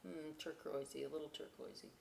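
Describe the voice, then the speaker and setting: A woman hosting a children's storytime, reading and chatting informally in an expressive, emphatic way. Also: low-pitched, creaky. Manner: expressive, emphatic, unhurried delivery. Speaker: library storytime host. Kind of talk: children's read-aloud with informal asides. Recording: echoey room.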